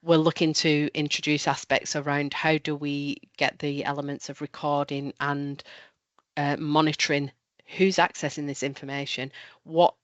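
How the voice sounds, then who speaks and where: A woman with a cold gives a measured talk over a video call, slightly nasal, with frequent ums and restarts. Her voice is smooth and fairly high for a man's range, though its pitch barely moves. The audio is dry and a bit dull and lo-fi. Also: flat delivery, no echo. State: has a cold. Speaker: council presenter. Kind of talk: webinar presentation and Q&A.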